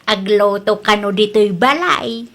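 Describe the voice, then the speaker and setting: A woman's voice: mid-range voice, a little slow, a bit bright. An actress with an Ilocano Filipino accent playing a practical, sceptical mother in a comedy radio drama.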